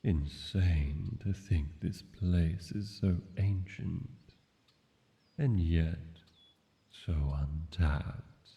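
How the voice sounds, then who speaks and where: A man playing an ancient, brooding dragon king in a fantasy audio roleplay, speaking tenderly and deliberately to a lover, with dramatic pauses. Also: full character voice. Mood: gentle, cautioning. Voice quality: gravelly low voice. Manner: slow, deliberate, hushed storytelling with dramatic pauses. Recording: echoey cavern-like reverb, dull, noisy.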